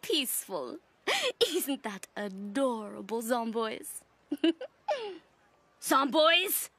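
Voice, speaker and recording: A woman playing a scornful teenage zombie villainess in a cartoon, with a mocking, theatrical, drawn-out delivery. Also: fairly high pitch, very animated, fairly bright tone, slight background noise, exaggerated voice. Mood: taunting. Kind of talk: animated cartoon acting.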